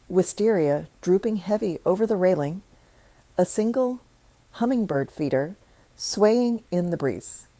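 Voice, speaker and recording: A woman reading a scripted first-person story in a measured, reflective way. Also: fairly low pitch, dark tone, no room sound.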